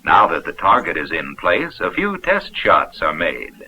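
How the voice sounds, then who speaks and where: A man's voice: deep voice, huge pitch swings, measured pace, bright, forceful tone. An American man reading scripted narration for a 1970s instructional film in a folksy, upbeat, explanatory manner. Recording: dull, noisy vintage 16mm film soundtrack.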